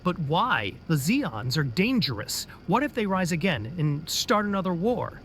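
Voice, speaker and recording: A man's voice: a little high, very animated, measured pace, a bit bright, slight background noise. A man playing an anxious, doubting captain. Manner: anxious, hesitant objections. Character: doubtful young male captain.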